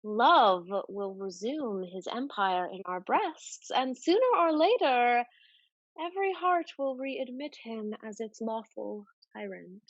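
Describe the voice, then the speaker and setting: Woman playing arch society lady, high animated voice. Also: no room sound, highs cut off, heavily acted. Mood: sly, probing. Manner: theatrical, deliberate, arch delivery. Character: scheming society lady, arch, proud, secretly in love. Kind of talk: Restoration comedy stage acting.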